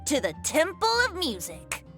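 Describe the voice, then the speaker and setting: A woman's voice: high-pitched, sing-song, moderate pace, pushed and bright, soft background music, full character voice. A woman voicing a cheerful, playful young male bard in a video game, with a theatrical, lilting, teasing delivery. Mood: playful, grand. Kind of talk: scripted video game voice acting.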